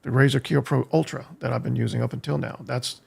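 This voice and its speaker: An American man streaming a game, giving casual live commentary in a halting way as he thinks aloud, with a gravelly, husky voice. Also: mid-range voice, steady tone, darkish tone. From United States. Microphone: streaming desk microphone.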